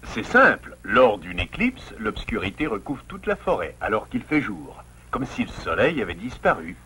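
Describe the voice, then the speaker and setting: A man's voice: lowish voice, sing-song, moderate pace, pushed and bright, husky, full character voice. A man playing a learned, kindly father beaver in a French cartoon, explaining things patiently and pedantically. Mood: pleased, explanatory.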